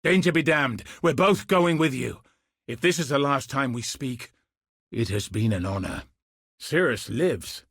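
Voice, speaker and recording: A man voicing a grim, dutiful soldier commander in a video game, speaking with husky, solemn, earnest resolve. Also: mid-range voice, lively intonation, unhurried, a bit bright, dry recording, studio quality, heavily acted. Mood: urgent, resolute. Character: grim, dutiful male soldier commander hunting cosmic threats. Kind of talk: scripted video game character dialogue.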